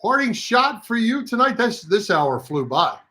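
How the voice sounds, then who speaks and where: A male sports radio host with a fairly high-pitched voice. He speaks casually but with emphasis, in the easy manner of sports talk, and tends to repeat phrases. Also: sing-song, measured pace, sharp tone.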